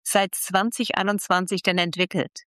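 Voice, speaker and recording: A woman reporter co-hosting a news magazine, reading news and asking interview questions in a steady, informative way. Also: medium pitch, normal speed, no echo, studio quality. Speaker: radio reporter and co-host.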